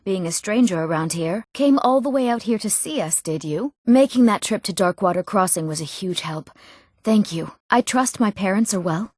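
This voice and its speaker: A woman acting an eager, earnest young woman in a fantasy video game, speaking her lines clearly and a little theatrically. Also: mid-range voice, a little mellow, no echo, studio quality, natural voice. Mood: earnest, friendly. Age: young adult. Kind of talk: scripted fantasy game dialogue.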